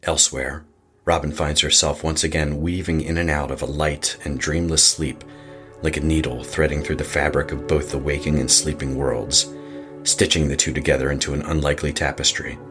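Super deep guy narrating a horror tale, dramatic n deliberate, music behind him. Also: steady tone. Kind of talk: scripted horror story narration. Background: music under the voice.

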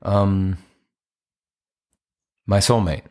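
A man's voice: sits low, fairly even intonation, drawn-out words, a little mellow. An actor playing an earnest, apologetic romantic man in an audio play, with a hesitant, conversational delivery. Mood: earnest, hopeful.

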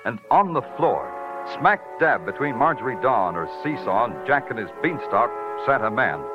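Man acting wry, lively hardboiled radio detective. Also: mid-range voice, moderate pace, music in the background, natural voice. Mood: wry narration. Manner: sardonic, literary narration. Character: wry, hardboiled New York police detective. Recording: dull vintage 1940s radio recording.

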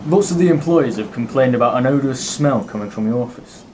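A man's voice: medium pitch, expressive, fast talker, a little mellow, natural voice. Man as a formal station boss, noisy backdrop. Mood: stern. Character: stern, formal male railway station boss. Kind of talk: community audio drama acting. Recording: noticeable background ambience noise.

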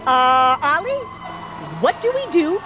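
A woman's voice: high voice, sing-song, slow, over music, heavily acted. A woman playing a tough, cocky superhero vigilante in an audio drama, with sassy, confident banter. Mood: worried.

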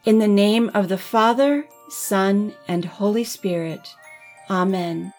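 A woman leading a congregation in prayer with gentle, deliberate phrasing. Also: mid-range voice, faint music behind. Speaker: music director leading prayer. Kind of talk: led liturgical prayer.

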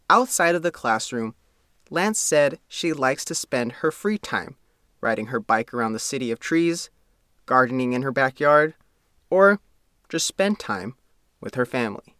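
A man's voice: medium pitch, big pitch swings, a little slow, fairly bright tone, no echo, studio quality. A man reading a scripted feature story as a reporter. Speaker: reporter and narrator.

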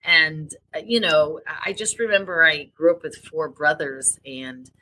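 Sniffly, husky woman co-host. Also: sits low, measured pace, pushed and bright, low-quality recording. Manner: rambling, chatty, frequent restarts. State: stuffy with sniffles. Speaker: podcast co-host. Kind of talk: casual chat.

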